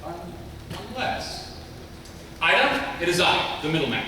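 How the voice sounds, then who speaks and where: An actor playing an earnest, commanding secret-agent hero, with stiff, formal, emphatic delivery at a live script reading. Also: male voice, sits high, sing-song, a little slow, pushed and bright, exaggerated voice. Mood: deducing. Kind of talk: live table read of a TV script. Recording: echoey hall, distant, crowd noise.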